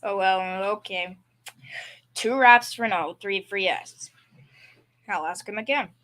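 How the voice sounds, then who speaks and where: A woman's voice: mid-range voice, slow, a bit bright. A young female performer playing a bumbling, confidently clueless working-class dad in a school old-time radio comedy, with folksy bad grammar. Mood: scoffing, insistent. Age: young teen.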